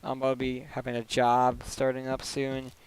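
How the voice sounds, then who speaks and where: A young man streaming a video game, chatting casually and rambling with lots of "like" fillers and quick laughs, into a desk microphone at home. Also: mid-range voice, completely flat delivery, slight background noise, rough recording. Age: young adult. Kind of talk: casual chat while gaming.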